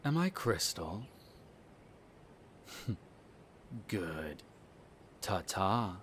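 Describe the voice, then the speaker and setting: A man's voice: low voice, big pitch swings, extremely slow, a bit bright, a little hiss or hum, exaggerated voice. A man acting a smug, contemptuous aristocratic vampire in an audio roleplay, with formal, disdainful diction. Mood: menacing, smug.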